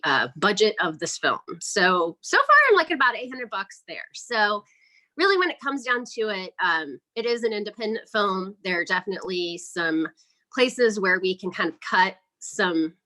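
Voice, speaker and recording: Woman, very bright forceful voice, mid pitch, casual interview guest, chatty independent filmmaker. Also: low-quality recording.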